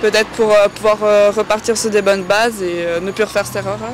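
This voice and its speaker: Give me a hesitant young Belgian woman on a street, mid-pitched, faint traffic. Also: flat delivery, brisk. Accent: Belgian French. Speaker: street interviewee. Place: outdoors on a city street.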